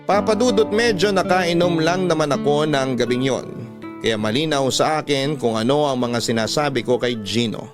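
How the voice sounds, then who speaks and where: A man's voice: medium pitch, moderate pace. A man reading a first-person love story as the narrator of a radio drama. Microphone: close studio microphone. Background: background music.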